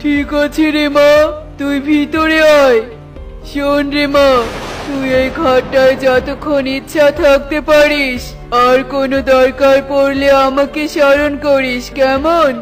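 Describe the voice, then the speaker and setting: Male actor as stern cartoon tantrik, commanding, menacing, high-pitched, monotone delivery. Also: slow, music in the background. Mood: solemn, hospitable. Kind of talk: children's cartoon voice acting.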